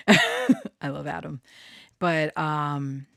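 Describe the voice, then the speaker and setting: A woman with a low-pitched voice and plenty of fry talks loosely to her followers. She takes her time, wandering and giggly, with casual swearing. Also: huge pitch swings, drawn-out words, fairly bright tone, dry recording, studio quality. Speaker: online storyteller and channeler. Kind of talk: rambling casual chat to followers.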